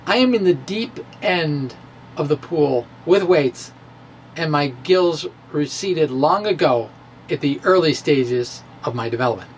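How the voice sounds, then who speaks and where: A middle-aged American man with a fairly high voice presents a science blog as a dramatic reading. He is wry and self-deprecating, slipping into casual asides. There is clear noise behind him as he talks into a close microphone. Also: expressive, unhurried, rough recording. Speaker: science video blogger presenting his physics speculation. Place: small indoor room with bookshelves. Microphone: handheld-style microphone on a stand, close in front.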